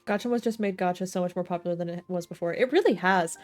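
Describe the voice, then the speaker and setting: A woman's voice: on the low side, fast, darkish tone, faint music behind. A woman livestreamer giving casual, joking, slangy reactions as she plays a game. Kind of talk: casual game playthrough commentary.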